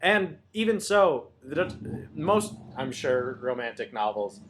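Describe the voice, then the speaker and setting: An excitable man talking as a podcast co-host. He chats in a casual, joking manner, as in an informal book discussion, at a middling pitch. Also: slow, slight background noise. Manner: excitable, jokey.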